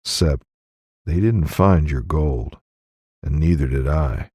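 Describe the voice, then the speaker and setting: A man's voice: extremely low pitch, slow, mellow, breathy edge, no echo, studio quality, exaggerated voice. A man voicing a wary, shrewd Old West drifter with serious, drawling frontier talk in an audiobook story. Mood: grim, wary.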